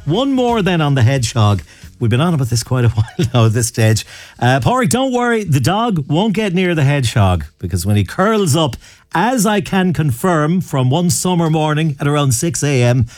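A man's voice: mid-range voice, darkish tone, no room sound, clean studio sound. A man hosting a radio show, chatting in a jokey, easygoing way. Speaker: radio host. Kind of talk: casual radio chat.

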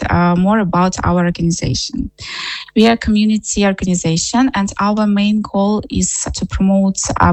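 A woman from Ukraine, speaking earnestly in a radio interview, often pausing with "uh". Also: mid-range voice, no room sound, low-quality recording. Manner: earnest, with frequent uh fillers. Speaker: interviewee, tourism manager.

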